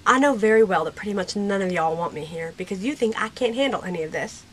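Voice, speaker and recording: A woman's voice: medium pitch, expressive, quick pace, slight background noise, lightly acted. A woman playing a stubborn, outspoken sixteen-year-old girl with folksy Western phrasing in an amateur audio drama. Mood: bitter.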